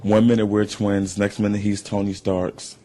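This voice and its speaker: A man's voice: lowish voice, little pitch movement, moderate pace, crowd noise. An American man in his twenties chatting casually and telling anecdotes as a guest on a radio show. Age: 20s. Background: music.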